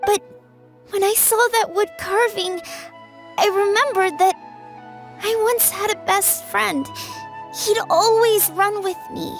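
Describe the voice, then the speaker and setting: A woman voicing a frail, earnest little girl in a video game, halting and wistful. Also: very high-pitched, steady tone, full character voice. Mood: sad, wistful. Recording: loud music under the voice.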